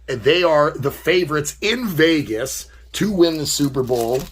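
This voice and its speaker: A man's voice: a little high, expressive, unhurried. A man from Canada who is losing his voice, hosting a card opening with wry, teasing banter. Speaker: card break host. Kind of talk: casual chat.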